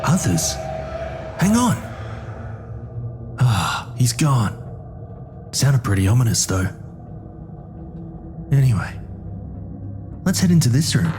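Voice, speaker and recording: Unhurried male horror show host over music. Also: mid-range voice, sing-song. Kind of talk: in-character horror anthology hosting, conversational. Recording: prominent music under the voice.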